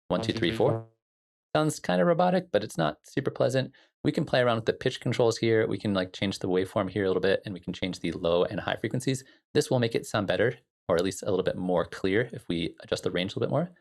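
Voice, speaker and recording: A man with a fairly low voice and a lot of vocal fry walks through music software as an instructor. His delivery is informal and explanatory, like a casual tutorial.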